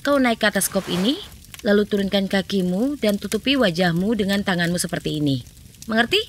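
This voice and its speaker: A woman voicing a sly, scheming female fox who poses as a cute bunny, speaking in a sweet, coaxing sing-song in an animated cartoon dub. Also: medium pitch, a little fast, close to an everyday voice. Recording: noisy cartoon soundtrack.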